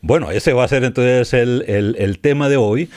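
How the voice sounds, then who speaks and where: A man hosting a podcast interview in a friendly, conversational manner with halting restarts. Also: mid-range voice, a little mellow. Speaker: podcast host.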